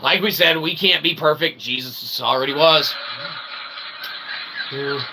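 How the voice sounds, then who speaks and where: A man's voice: fairly high pitch, measured pace. A man hosting a livestream, giving a rambling philosophical monologue in wordy, convoluted phrasing with frequent tag questions. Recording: clear background noise.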